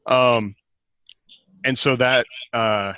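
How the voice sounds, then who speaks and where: A man's voice: mid-range voice, steady tone, drawn-out words, bright, forceful tone, clear, resonant tone, dry recording. A middle-aged man talking casually and reflectively as an author on a podcast, often revising his sentences as he goes. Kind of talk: casual reflective conversation about writing and life.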